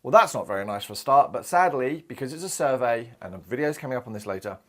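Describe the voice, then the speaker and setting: A man in his thirties, from Australia, teaching a recorded maths lesson in a chatty, animated way. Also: medium pitch, fast talker. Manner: lively, chatty, acts out quoted voices. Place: indoor studio in front of a screen.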